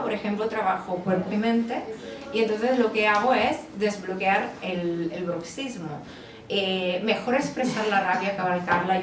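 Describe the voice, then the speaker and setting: A woman psychologist with an Italian accent, speaking with many hesitations and fillers at a book presentation. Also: medium pitch. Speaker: psychologist and author. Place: bookstore event space. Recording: roomy, distant mic with background noise.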